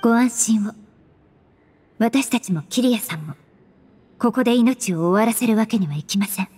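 A woman voicing a composed, polite young female investigator in an anime game, formal and analytical, with a soft breathy voice. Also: on the high side, moderate pace, soft background music, lightly acted. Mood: composed, resolute.

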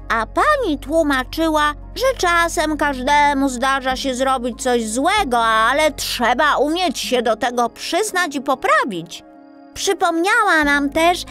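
A woman voicing a sweet, cheerful little star girl telling bedtime stories in an animated, childlike way for a children's audiobook. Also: sits high, exaggerated voice. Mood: storytelling. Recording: music behind the voice.

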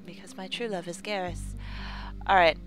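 A woman's voice: fairly even intonation, normal speed, over music, rough recording. Chatty jokey woman gaming. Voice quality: lower morning voice. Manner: chatty, jokey, swears, laughs a lot. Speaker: let's play gamer. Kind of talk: casual gameplay commentary. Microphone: close desk mic.

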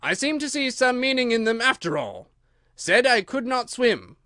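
Man acting a storybook king, pompous, self-important, raised pitch, animated, pondering aloud. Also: normal speed, dry recording, clean studio sound, full character voice. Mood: pondering, triumphant.

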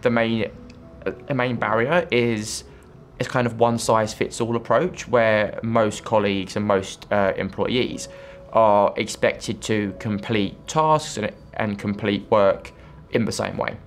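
A young British man with a stammer, speaking in a documentary interview with pauses and repeated words. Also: on the low side, fairly even intonation, slight background noise. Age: young adult. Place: bright office by a window. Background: music.

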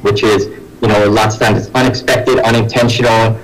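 A man gives formal legal testimony over a video call from an office. His voice is low and flat, and he explains hesitantly with many fillers. Faint music sits in the background. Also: moderate pace, low-quality recording. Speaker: deputy prosecuting attorney testifying.

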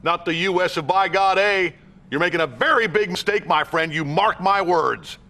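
A man's voice: high-pitched, moderate pace, bright, forceful tone. An American man in his fifties playing a blustering, stubborn president in a game cutscene, emphatic and bombastic. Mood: indignant.

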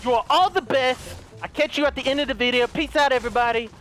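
A man with a high, light voice hosts a gaming channel, talking in a casual, upbeat way. His voice sounds a little strained from overuse, and there is a faint hiss underneath. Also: fast. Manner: energetic, upbeat intro, then low-key gameplay chat. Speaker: gaming video host.

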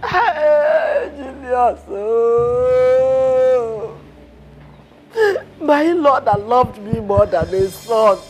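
A woman's voice: on the high side, lively intonation, slow, a little hiss or hum, rough recording. A wailing older mother-in-law. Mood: wailing grief. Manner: wailing, sobbing laments.

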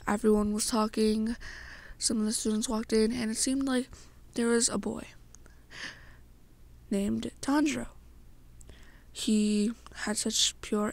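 A young adult woman softly narrating a second-person ASMR story in short, halting phrases. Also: fairly high pitch, creaky.

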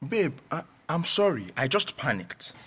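An actor playing a nervous, evasive young student with a Nigerian accent, defensive and apologetic in a radio drama. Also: male voice, on the high side, very animated, measured pace, pushed and bright, a little hiss or hum, exaggerated voice.

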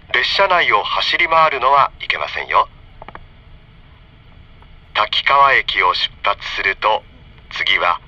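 A man reading a scripted onboard train announcement for children in friendly, polite, clearly enunciated phrases, heard over a train PA. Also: fairly low pitch, bright, forceful tone. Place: train car, over the PA system. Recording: dull train PA with faint noise.

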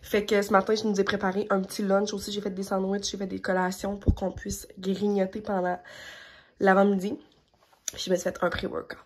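A woman's voice: medium pitch, monotone, a little fast. A young woman with a Québécois French accent chatting casually in an upbeat, rambling way for her vlog, filmed on a phone held at arm's length. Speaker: vlogger. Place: bedroom and car.

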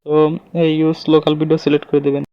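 A man's voice: fairly high pitch, monotone, very fast, no echo. A man hosts an informal tutorial, narrating step by step and pointing things out as he goes with repeated filler phrases. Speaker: tutorial video host. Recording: slightly dull, lo-fi close recording.

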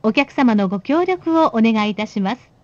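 A woman giving calm, polite recorded announcements for a train. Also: on the high side, normal speed, no echo. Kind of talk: recorded on-board station announcements. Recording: dull recording from inside a train.

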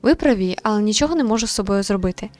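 A woman voicing a composed, modest young female medium in a visual novel, calm, polite and measured. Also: mid-range voice, close to an everyday voice. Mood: modest, reflective.

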